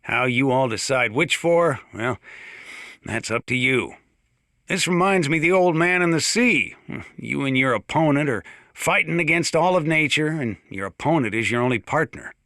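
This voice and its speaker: An actor playing a gruff, plain-spoken old small-town mountain sheriff with an American accent, talking over the radio in a video game. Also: male voice, medium pitch, expressive, sharp tone, dry recording, studio quality. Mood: weary, grave, then solemn. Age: older. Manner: folksy, plain-spoken, drawn-out hesitations. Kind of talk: in-game radio broadcast monologue.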